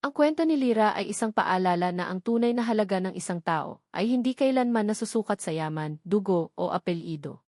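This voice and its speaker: A woman's voice: mid-range voice, expressive, fairly quick, few pauses, darkish tone, no room sound, clean studio sound. A woman reading a scripted dramatic story in an earnest, sentimental way. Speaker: narrator of a story channel.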